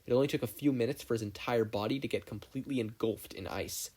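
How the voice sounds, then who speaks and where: A man's voice: medium pitch, quick pace, a little mellow, breathy edge. A man reading scripted story narration as an audiobook narrator.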